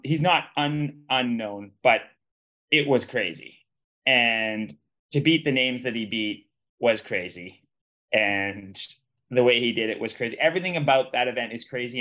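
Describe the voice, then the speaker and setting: A man's voice: mid-range voice, a bit bright. Emphatic, rambling man recapping sports. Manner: rambling, emphatic, colloquial, repeats himself. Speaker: podcast host and ski racing commentator. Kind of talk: casual sports recap chat.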